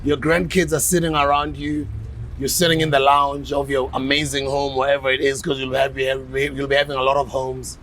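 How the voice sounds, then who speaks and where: A South African man in his forties hosting a casual podcast interview, animated and playful, often breaking into laughter. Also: mid-range voice, normal speed, slight background noise, poor audio. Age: 40s. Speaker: podcast host.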